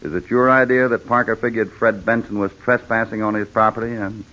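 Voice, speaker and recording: An actor playing a shrewd cowboy hero who questions people with measured authority, with an American accent, in an old-time radio western. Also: male voice, lowish voice, fairly even intonation, sharp tone. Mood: businesslike. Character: steady, shrewd cowboy hero investigating a killing. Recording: dull lo-fi vintage radio recording.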